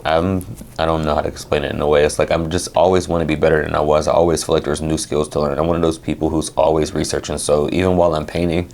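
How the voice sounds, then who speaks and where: A man with an extremely low, deep voice, speaking quickly in a relaxed, chatty way. He is a young guest on an interview and often drops "like" between his thoughts. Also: gravelly, a little hiss or hum. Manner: fast, relaxed, says "like" often. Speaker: guest singer and painter. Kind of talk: casual interview conversation.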